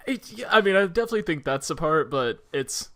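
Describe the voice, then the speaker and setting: A man's voice: a little high, fairly quick. Giggly man chatting about movies. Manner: animated, giggly, breaks into laughter often. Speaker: film podcast co-host. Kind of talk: casual film discussion.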